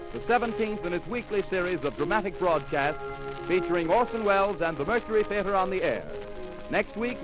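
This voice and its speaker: Give a man announcing for a 1930s network in a crisp, formal, measured manner. His voice sits high. Music plays clearly beneath him. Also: rough recording.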